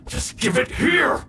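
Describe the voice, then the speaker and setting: An echoey, high-voiced game villain, swooping wildly as he taunts. His creaky voice is theatrical and scheming, made for threats. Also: sharp tone, distant mic, slight background noise, full character voice. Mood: flustered, outraged. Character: scheming male villain threatening to blow up a hideout. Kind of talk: dramatic game dialogue.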